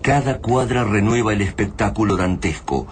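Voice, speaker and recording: Deep-voiced Argentine male radio drama narrator. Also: moderate pace, slight background noise.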